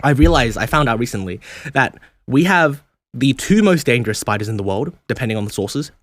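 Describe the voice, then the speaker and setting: A man's voice: medium pitch, lively intonation, a little fast, a bit bright, no room sound. A young man with a mild Australian accent, a podcast guest chatting casually, often laughing as he rambles.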